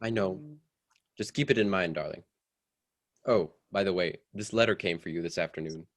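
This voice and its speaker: A low-pitched, proper husband in a radio drama, played by a man. He talks in a measured, mildly condescending way, like someone ambitious and careful of appearances. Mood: mild disapproval. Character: proper, cautious, ambitious husband with political hopes. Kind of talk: old-time radio drama acting.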